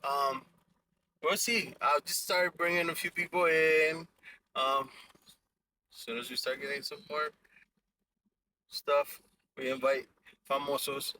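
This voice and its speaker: A young man from Ecuador hosts a loose, joking podcast from the seat of a car, sliding between English and Spanish. His voice is very bright and sits a little high. A faint hum of the car runs under him. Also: poor audio. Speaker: podcast host. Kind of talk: casual podcast banter.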